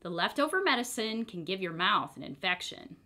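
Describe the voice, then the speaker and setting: A woman's voice: mid-range voice, sing-song, normal speed, pushed and bright. A woman voicing a nice, fanged female pharmacist in a children's storybook, friendly and patiently instructive.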